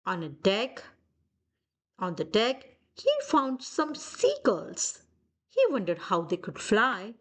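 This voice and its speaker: High-pitched, animated woman reading a storybook. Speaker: children's book read-aloud narrator. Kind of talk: animated storybook narration for children.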